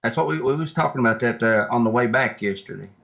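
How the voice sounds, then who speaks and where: A man's voice: medium pitch, quick pace, creaky, a bit bright. A man speaking as a guest, an angler explaining things casually in a plain-spoken, informal way, recorded in dull lo-fi sound. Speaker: guest angler, tournament fisherman. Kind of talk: casual chat, recounting and explaining.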